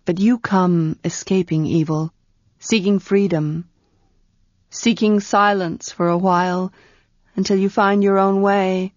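A woman reading the part of a gentle, wise male wizard, who speaks in a quiet, soothing and measured way. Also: mid-range voice, steady tone, no room sound, close to an everyday voice. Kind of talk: audiobook character reading. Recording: dull, muffled sound.

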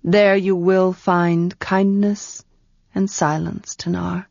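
Woman reading as a calm kind old wizard guy, soft and slow, sound dull and muffled. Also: fairly low pitch, creaky, no echo. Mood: gentle. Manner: quiet, soothing, measured. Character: gentle, wise male wizard, calm and kind. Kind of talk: audiobook character reading.

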